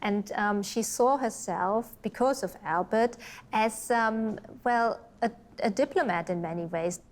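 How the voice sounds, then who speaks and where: A woman speaking off the cuff as an expert interviewee, thoughtful and halting, repeating words as she goes. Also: medium pitch. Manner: hesitant, thoughtful, halting repetitions. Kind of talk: unscripted interview discussion.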